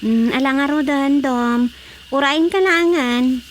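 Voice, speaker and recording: A woman's voice: high voice, slow, a little hiss or hum. A woman acting in a radio drama, speaking in a conversational, reassuring way.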